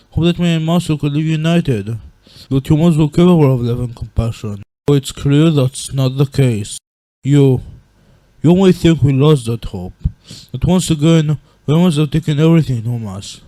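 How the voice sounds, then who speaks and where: A man playing a grieving, regretful monster king and gentle father in a dramatic comic dub, speaking in a solemn, earnest way. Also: fairly high pitch, normal speed, dry recording, close to an everyday voice, poor audio. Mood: grave, mournful.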